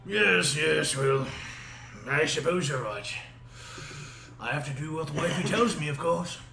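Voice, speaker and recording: Young guy doing his rpg character, gravelly bright voice, lots of ums, echoey room. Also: fairly high pitch, faint music behind. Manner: hesitant, halting with fillers. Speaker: player voicing his character. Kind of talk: in-character tabletop roleplay. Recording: echoey room with background noise.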